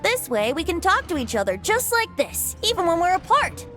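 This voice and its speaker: A boyish young bard from a video game, breezy and teasing. The voice is high and theatrical, lilting up and down over background music. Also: female voice, sing-song, moderate pace, bright, forceful tone, heavily acted. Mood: playful, cheerful. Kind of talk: video game character acting.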